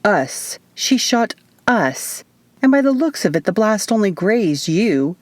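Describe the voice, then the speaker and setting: A woman's voice: medium pitch, big pitch swings, slow, no room sound, pitched above the performer's own voice. A woman reading as a proud, sardonic wounded man in an audiobook, sneering and easily irritated. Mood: angry, pained. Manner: sneering, grumbling.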